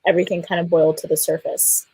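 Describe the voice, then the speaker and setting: A woman's voice: on the low side, little pitch movement, quick pace, mellow, breathy edge, dry recording, rough recording. A woman telling a personal story as a filmmaker guest in an interview, in a hesitant manner. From Iran or of Iranian family. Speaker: filmmaker guest on a festival panel.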